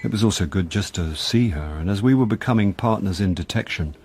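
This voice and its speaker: An actor playing a rueful, theatrical middle-aged British actor turned amateur detective in a radio comedy-drama, wry and self-deprecating. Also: male voice, low-pitched, a little fast, darkish tone. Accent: British English. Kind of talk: radio comedy-drama acting and first-person narration.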